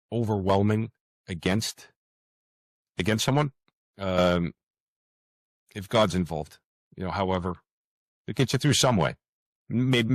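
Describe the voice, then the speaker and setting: A man with a low, deep voice speaks as a guest in a relaxed interview format. He is earnest and halting, often searching for his words. The sound is dry, with very little room around it. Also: dry recording. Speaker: guest author. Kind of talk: conversational interview answers.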